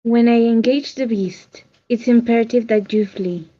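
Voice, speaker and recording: A woman's voice: on the high side, steady tone, normal speed, dark tone, highs cut off, lightly acted. A woman playing a brave, protective teenage warrior girl with a firm manner, reading in a script table read. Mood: resolute, urgent. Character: brave fifteen-year-old girl warrior, resolute and protective.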